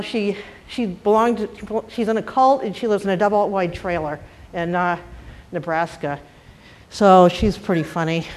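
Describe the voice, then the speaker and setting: An older woman from Boston with a light Boston accent, speaking as a panelist and telling her own career stories in a wry, frank, self-deprecating way. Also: mid-range voice. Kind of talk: candid personal talk.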